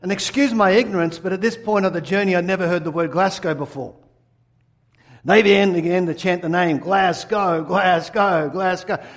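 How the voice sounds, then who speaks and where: A man's voice: sits high, normal speed. A middle-aged Australian man from Sydney, preaching a sermon and telling his own story earnestly, putting questions to the congregation. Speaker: pastor. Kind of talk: sermon with personal testimony.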